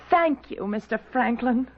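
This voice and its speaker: An anxious, proper young bride-to-be, loyal and earnest. Her high voice swoops emotively in classic radio drama fashion. The vintage recording is dull, with faint noise. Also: expressive, slow pace, heavily acted. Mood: upset, indignant. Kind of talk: 1970s radio drama acting.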